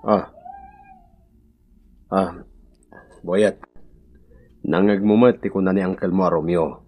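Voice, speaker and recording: An actor playing an excitable, apologetic village father in a radio comedy-drama, speaking expressively with an Ilocano accent. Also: sits low, slow pace. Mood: friendly. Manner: exclamatory, expressive.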